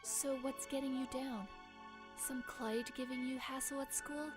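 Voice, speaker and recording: A woman voicing a soothing, attentive young girlfriend in a visual novel, with flat, emotionless, almost robotic delivery. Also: high-pitched, unhurried. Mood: flatly soothing. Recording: music behind the voice. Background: music.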